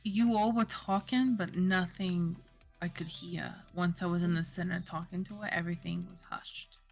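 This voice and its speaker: A woman playing a tabletop role-playing game, speaking in an earnest, halting way. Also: on the low side, steady tone, creaky, faint music behind. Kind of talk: casual in-character game chat.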